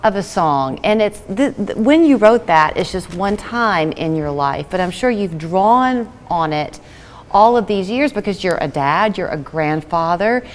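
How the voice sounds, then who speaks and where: A woman's voice: mid-range voice, lively intonation, unhurried, big-room sound. A woman interviewing a guest in a conversational broadcast style.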